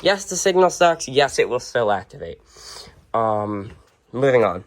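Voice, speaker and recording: Offhand radio collector with a mid-pitched voice. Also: big pitch swings, measured pace, a little hiss or hum. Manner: blunt, offhand, brief verdicts. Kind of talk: casual unscripted show-and-tell. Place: indoors at home, among shelves and tables of radios.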